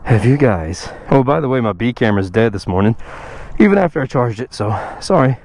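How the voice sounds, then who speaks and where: A man chatting casually while riding his motorcycle, his voice a bit rough from recovering after losing it. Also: medium pitch, lively intonation, brisk, a little mellow, no room sound. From Texas. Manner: chatty, self-joking, sighs and laughs. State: recovering from lost voice. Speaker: motovlogger. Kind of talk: casual motorcycle vlog chat. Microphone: helmet-mounted action camera mic. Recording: outdoor wind and road noise.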